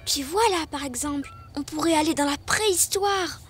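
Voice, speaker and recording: A curious, slightly bossy cartoon little girl, played by a woman. Her voice is high and playful, with a knowing, teasing way of talking. Music plays clearly behind her. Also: normal speed, close to an everyday voice. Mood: eager, chatty. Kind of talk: French educational cartoon dialogue.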